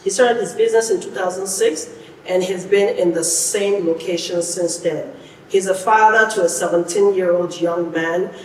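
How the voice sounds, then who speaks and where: A woman's voice: lowish voice, normal speed. A woman hosting a podcast, introducing and interviewing with an emphatic delivery that repeats phrases for effect. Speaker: podcast host. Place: indoor podcast studio. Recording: echoey, reverberant room, distant mic, faint noise.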